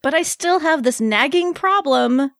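A woman's voice: high voice, lively intonation, measured pace, creaky, a bit bright, no echo, clean studio sound, heavily acted. A woman reading a smirking, impatient Valkyrie, sarcastic and teasing, in an amateur fan fiction table read.

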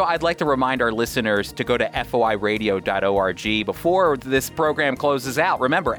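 A man's voice: medium pitch, fairly bright tone. A man hosting a religious radio show, speaking conversationally as a host and teacher. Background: music.

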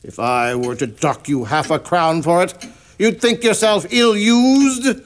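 A man's voice: high voice, unhurried, a little hiss or hum. An actor playing a bitter, miserly old businessman in a radio drama, scornful and curt. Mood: scornful, grudging. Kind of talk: family radio drama acting.

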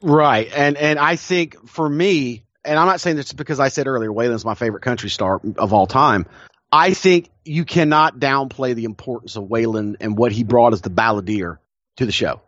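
A man's voice: mid-range voice, moderate pace, fairly bright tone, no echo. Brief: male podcast co-host, eager storyteller, dry, slightly dull recording. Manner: enthusiastic storytelling, frequent restarts, laughs often. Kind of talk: casual chat.

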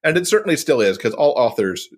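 A man's voice: medium pitch, very animated, fast talker, husky. A man giving a casual interview as a podcast guest, explaining things in an amiable way. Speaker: podcast guest, author and co-host.

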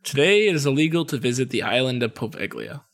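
A man's voice: medium pitch, big pitch swings, fast. A young American man co-hosting a podcast, telling stories in a casual, slangy, playful way that mixes scripted reading with asides. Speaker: podcast co-host.

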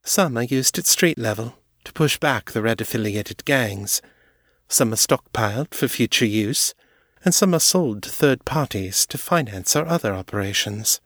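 A man's voice: medium pitch, animated, normal speed, a bit bright, no room sound, studio quality, voice pushed up. A man voicing a haughty, aristocratic female vampire who speaks in a polished, condescending way. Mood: measured, cool. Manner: polished, formal, patronizing.

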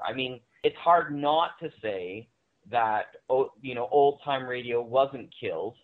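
A man talks as a guest on a panel, explaining things conversationally and leaning on key words. His mid-pitched voice comes through a tinny, narrow phone-like connection. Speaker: panel guest on audio drama. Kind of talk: conversational commentary.

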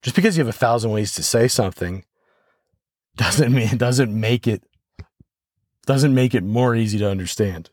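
An American man hosting a podcast solo, talking reflectively and haltingly as he thinks aloud. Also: mid-range voice, animated, a little mellow, no room sound, studio quality. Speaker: podcast host.

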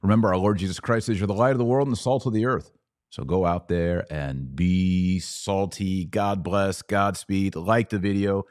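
A man's voice: deep voice, steady tone, normal speed, darkish tone. A man hosting a podcast, commenting emphatically on clips he plays and stressing his points with rising force. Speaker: podcast host.

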